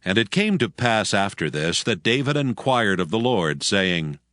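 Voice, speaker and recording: A man narrating scripture in a steady, measured storytelling manner. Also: lowish voice, no echo, studio quality. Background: faint background music.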